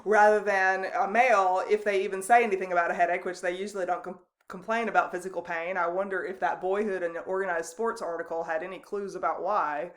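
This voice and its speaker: A woman instructor giving a recorded online lecture, speaking loosely and conversationally with frequent ums and restarts and a loud voice. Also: fairly low pitch, steady tone, fast, hardly any pauses. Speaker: college instructor.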